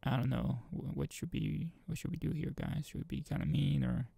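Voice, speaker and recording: A man giving a casual let's play of a visual novel, reading text aloud and rambling as he thinks out loud. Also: on the high side, wildly swooping pitch, fairly quick, creaky, breathy edge, no room sound. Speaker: gaming video host.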